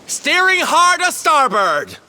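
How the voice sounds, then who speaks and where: A man's voice: extremely high pitch, huge pitch swings, slow pace, full character voice. An actor playing a flamboyant ship's helmsman in a video game, with dramatic, mannered phrasing. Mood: wary, commanding. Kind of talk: video game cutscene acting. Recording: loud noise behind.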